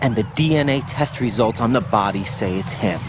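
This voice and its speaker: An actor playing a young special-forces agent in a video game, earnest and questioning. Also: male voice, on the low side, moderate pace, noisy background, lightly acted. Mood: earnest, searching.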